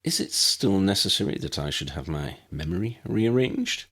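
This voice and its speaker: Give the storybook a courteous gentleman, voiced by a male reader. He sounds formal, polite and a little tentative. The pitch is lifted and lively. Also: normal speed, slightly rough, full character voice. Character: courteous, formal non-magical man, careful and polite.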